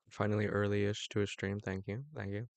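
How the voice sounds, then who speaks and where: A man's voice: on the low side, vocal fry, dry recording. A young man chatting casually on a livestream, rambling with lots of fillers and restarts and chuckling often. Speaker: streamer.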